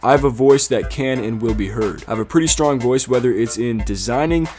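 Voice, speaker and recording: A man's voice: mid-range voice, a little fast, a little mellow, faint music behind. Upbeat man hosting a sneaker commentary. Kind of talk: scripted commentary.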